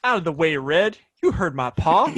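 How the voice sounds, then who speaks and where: Male actor as bratty cowboy lawman son for audio play: whiny, very animated, dry close sound. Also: on the high side, moderate pace, no echo. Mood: gloating. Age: young adult. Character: bratty, petulant young sheriff, town boss's spoiled son. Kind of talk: Western audio drama acting.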